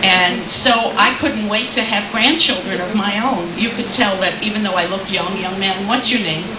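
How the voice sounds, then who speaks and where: A woman's voice: medium pitch, moderate pace, roomy sound, distant mic, noisy background. An older woman from Brooklyn with a New York accent, doing a rambling, self-mocking stand-up set in a comedy club and teasing the audience.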